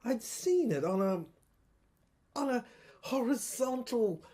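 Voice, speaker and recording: A woman playing a troubled infantry soldier in an audio drama monologue, speaking in halting, deliberate phrases with a husky voice. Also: high-pitched, darkish tone, heavily acted. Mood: troubled, emphatic. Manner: halting, broken phrases with deliberate emphasis and repetition. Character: troubled infantry soldier piecing together a secret government document.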